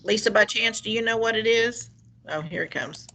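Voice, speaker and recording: A woman's voice: fairly high pitch, normal speed, sharp tone. A woman organizing an informal conference call, speaking to participants off the cuff. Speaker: meeting organizer.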